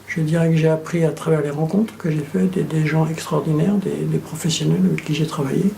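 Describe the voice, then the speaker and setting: A middle-aged man born in Morocco and raised in France, an engineer speaking reflectively in an interview, measured and thoughtful, repeating words as he searches. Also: a little high, steady tone, gravelly, dark tone, slight background noise, poor audio.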